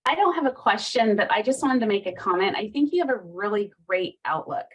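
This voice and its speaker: A woman joining a video call discussion to offer a supportive, soft-spoken comment. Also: mid-range voice, lively intonation, brisk, husky, highs cut off. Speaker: meeting participant. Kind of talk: casual panel discussion.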